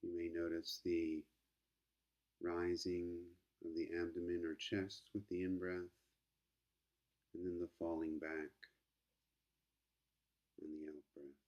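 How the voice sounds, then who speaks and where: A man leading a guided meditation as a teacher, speaking gently and calmly with long unhurried pauses. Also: low voice, muffled recording.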